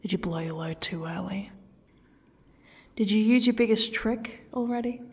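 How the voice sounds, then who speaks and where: Husky, creaky defiant captive woman, acted by a woman. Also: mid-range voice. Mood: bitter sarcasm. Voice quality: husky, often dropping into whispers. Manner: mocking, halting, drawn-out taunts. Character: defiant captive woman, bitterly sarcastic, taunting her torturer. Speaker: audio roleplay performer. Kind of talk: ASMR roleplay monologue acting.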